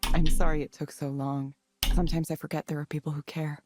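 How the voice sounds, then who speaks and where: A woman's voice: low-pitched, level tone, a little fast, music in the background, close to an everyday voice. An actress playing a reserved, hesitant woman in a video game, with an understated delivery. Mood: tentative, subdued. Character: Evelyn, reserved woman hesitantly accepting care.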